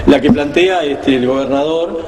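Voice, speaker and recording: An Argentine man co-hosting a talk show, offering conversational political commentary, halting and repeating words for emphasis. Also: on the high side, a loud crowd. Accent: Argentine Spanish. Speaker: radio talk co-host. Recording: echoey hall, faint background noise.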